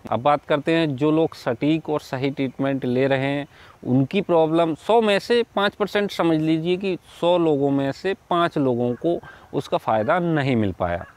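A man's voice: fairly high pitch, normal speed, darkish tone. A man gives informal, instructive advice as a video host, often repeating himself. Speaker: herbal remedy seller and video host. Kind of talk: informal explanatory talk to camera. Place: outdoors in a wooded clearing.

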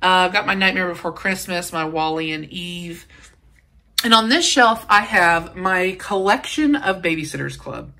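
A woman's voice: lowish voice, lively intonation, moderate pace, pushed and bright. A young American woman with a slight cold, chatting informally as she gives a casual shelf tour for her vlog. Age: young adult. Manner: chatty, informal, uses slang. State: sick with a sniffle. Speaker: book vlogger and channel host. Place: indoors in a home library room.

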